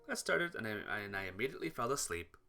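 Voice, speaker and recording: A man narrates a visual novel playthrough with lively, swinging pitch. He reads expressively and sometimes trips over words, with guitar music playing behind him. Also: quick pace, creaky. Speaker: playthrough host reading a visual novel aloud. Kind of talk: expressive read-aloud of game text.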